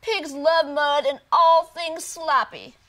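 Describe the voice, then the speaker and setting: A woman voicing a neat, polite young male pig in a children's storybook, gentle and a little hesitant. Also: high voice, takes it slowly, voice pushed up. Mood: matter-of-fact.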